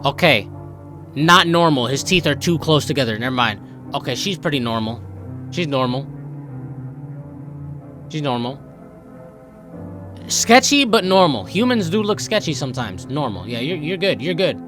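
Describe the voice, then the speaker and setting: A man's voice: on the high side, very animated, normal speed, long pauses, pushed and bright, faint music behind. A young American man giving casual, joking reaction commentary in exclamatory slang. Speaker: host of a reaction channel.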